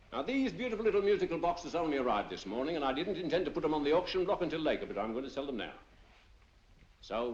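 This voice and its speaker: A jovial auctioneer who coaxes bids with salesman patter. His rides a lively, singsong pitch. The old film sound is dull, with music playing beneath. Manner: chatty salesman patter, coaxing and chuckling. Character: jovial fast-talking London auctioneer, male, middle-aged. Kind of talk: 1940s film dialogue.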